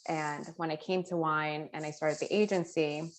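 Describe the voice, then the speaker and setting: A woman from Ecuador, raised in New York City, speaking as a guest in a measured, businesslike way. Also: deep voice, fairly even intonation. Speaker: guest, PR consultant. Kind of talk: casual video-call chat.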